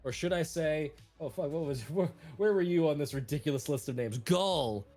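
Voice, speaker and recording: A man's voice: fairly high pitch, moderate pace, a little mellow, soft background music. A man running a tabletop roleplaying game as game master, narrating in a loose way with lots of fillers and laughs.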